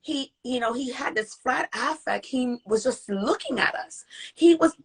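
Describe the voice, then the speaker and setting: A woman's voice: sits high, unhurried, vocal fry, slightly rough. A woman hosting a casual live-stream interview, talking earnestly and with feeling, acting out what others said to her. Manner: earnest, animated storytelling with emphatic quoted speech. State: recovering from voice loss. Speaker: interview host and parent advocate. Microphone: video call.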